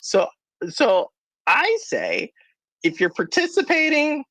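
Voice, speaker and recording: A woman host chatting on a live stream, her pitch swinging up and down in lively jumps. She talks to the viewers, laughs often, and her voice sounds dry and close to the mic. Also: slow, no echo, low-quality recording. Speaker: podcast host. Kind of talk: casual live-stream chat.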